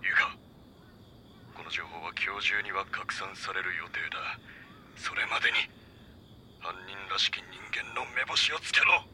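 An actor playing a stern, secretive magazine boss giving orders in an audio drama. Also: male voice, sits low, animated, normal speed, long pauses, noisy background. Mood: stern, urgent. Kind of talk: audio drama acting, hushed and commanding.

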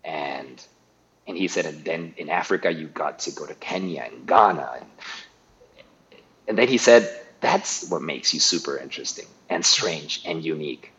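A man's voice: a bass voice, expressive, moderate pace, fairly bright tone, muffled recording. A middle-aged man from Guatemala, a guest talking casually in an interview, often restarting and repeating words.

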